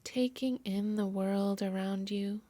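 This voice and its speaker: A woman yoga nidra instructor softly narrating a guided meditation in a soothing, measured, breathy voice. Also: medium pitch, steady tone, no room sound. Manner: soft, soothing, measured delivery.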